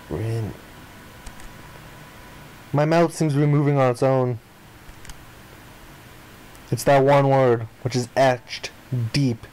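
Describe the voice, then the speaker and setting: A man's voice: mid-range voice, a little mellow, slight background noise, low-quality recording. A man reading a visual novel aloud as dramatic narration for a game playthrough.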